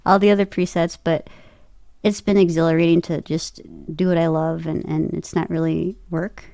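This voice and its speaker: A woman's voice: fairly low pitch, level tone, normal speed, dark tone, no echo, clean studio sound. A woman giving a casual interview as a guest, telling personal stories and often quoting her own thoughts as she goes. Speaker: voice actor and podcaster, interview guest.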